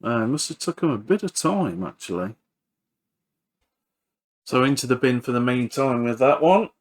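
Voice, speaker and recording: A man giving casual hands-on repair commentary as a video host, halting and thinking aloud while he works. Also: mid-range voice, animated.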